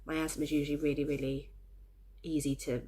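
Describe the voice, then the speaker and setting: Husky, congested, chatty woman vlogger. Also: low-pitched, little pitch movement, fast, warm tone. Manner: chatty, cheerful, rambling. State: congested with a cold, coughing. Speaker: crafting vlogger. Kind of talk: casual chat to camera.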